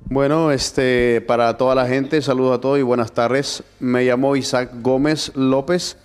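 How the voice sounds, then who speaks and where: A man's voice: medium pitch, fairly even intonation, unhurried, smooth, big-room sound, soft background music. A young man from Guatemala, a guest sharing his faith testimony, who speaks hesitantly with many fillers. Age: young adult. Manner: hesitant, many "este" fillers, soft-spoken. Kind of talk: testimony interview.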